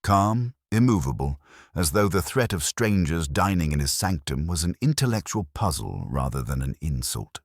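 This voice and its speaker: A man's voice: low-pitched, big pitch swings, normal speed, no room sound, studio quality. A man reading a first-person detective story as an audiobook narrator.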